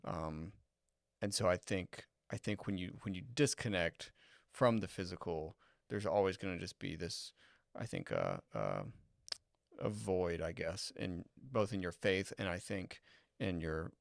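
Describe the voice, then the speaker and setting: A man co-hosting a podcast in reflective, casual discussion, halting as he searches for words. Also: low voice, expressive, vocal fry, dry recording, clean studio sound. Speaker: podcast co-host.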